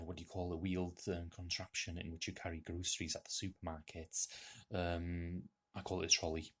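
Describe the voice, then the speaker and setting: A young North Welsh man with a very deep voice works through a list of questions, pausing often with ums and uhs. His first language is Welsh, and it shows in his English as he reads from a laptop in his home. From North Wales. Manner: hesitant, many ums and uhs, glances at laptop. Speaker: YouTuber. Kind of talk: accent tag video, reading questions.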